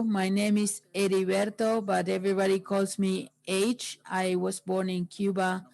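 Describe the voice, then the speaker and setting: A woman interpreting a workshop into English, pausing often between phrases. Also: mid-range voice, creaky, a little mellow, poor audio.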